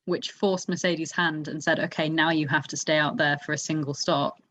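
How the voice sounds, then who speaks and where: A woman's voice: low voice, fairly even intonation, moderate pace, fairly bright tone. A woman hosting a casual motorsport discussion, talking things through in an analytical, explanatory way. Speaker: podcast host. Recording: dull, muffled lo-fi audio.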